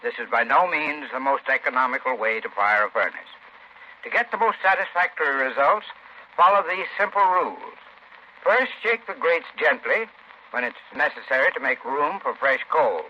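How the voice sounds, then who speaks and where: A man's voice: medium pitch, expressive, moderate pace, highs cut off, a little hiss or hum. A man giving formal, instructive scripted advice in a sponsor's spot on an old 1930s radio recording.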